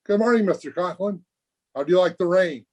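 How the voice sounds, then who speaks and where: An actor playing an earnest, guileless teenage high school boy, chatting eagerly in an old-time radio comedy. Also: fairly quick, a little mellow. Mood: cheeky, breezy. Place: remote recording over video call.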